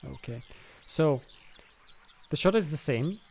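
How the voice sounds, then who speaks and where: A man's voice: on the high side, animated, slow, gravelly, darkish tone, a little hiss or hum. A man giving an unscripted screen-recorded tutorial as its host, hesitant and thinking aloud step by step. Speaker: host of a video game modding tutorial.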